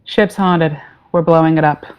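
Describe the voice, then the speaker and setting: A woman's voice: low-pitched, moderate pace, clear, resonant tone, close to an everyday voice. A woman playing a no-nonsense, jaded starship captain with a dry delivery in a sci-fi comedy play. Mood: firm, wary. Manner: dry, deadpan.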